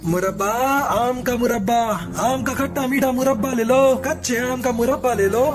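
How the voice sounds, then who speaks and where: A kindly male bird, voiced by a man from a children's moral cartoon. His voice is high for a man, earnest and mild. Music plays clearly beneath him. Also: normal speed. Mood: calling wares. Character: kindly, soft-hearted male bird husband and brother. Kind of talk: Hindi cartoon moral-story dialogue.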